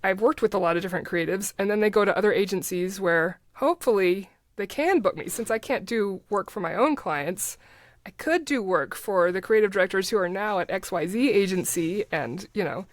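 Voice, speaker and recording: A woman's voice: medium pitch, brisk, dry recording, studio quality. A middle-aged woman, a podcast guest, talking in casual interview chat with an informal, self-deprecating manner. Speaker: podcast guest, voice actor and ad producer.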